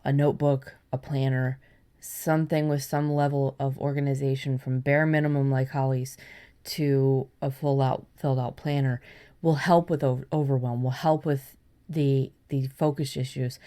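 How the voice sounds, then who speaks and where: A woman's voice: very deep voice, level tone, normal speed, dark tone. A woman hosting a podcast, giving conversational advice in an explanatory, encouraging way. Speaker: podcast host.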